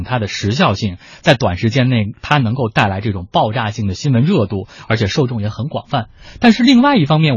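A man hosting a radio panel discussion, posing questions in a measured, formal way with a husky voice. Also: mid-range voice, dry recording. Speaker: host. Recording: dull, muffled audio.

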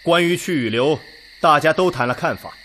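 An actor playing a measured, weighty Red Army commander who consults his officers in a Chinese radio drama. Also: a little high, fairly bright tone, slight background noise, close to an everyday voice. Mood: grave, measured.

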